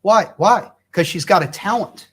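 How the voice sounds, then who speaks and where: A man's voice: high voice, normal speed, husky. A middle-aged man hosting a talk show, encouraging his guest and restating the guest's points in his own words. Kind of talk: faith-based talk show conversation.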